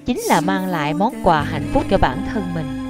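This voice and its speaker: A woman's voice: fairly low pitch, expressive, normal speed. A woman reading a scripted narration as the narrator of a film. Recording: music behind the voice.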